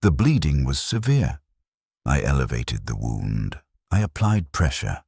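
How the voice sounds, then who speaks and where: A man narrating a scripted first-person war story in short, measured sentences with a detached, solemn delivery. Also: rumbling low, big pitch swings, creaky, a little mellow, husky, no echo, clean studio sound.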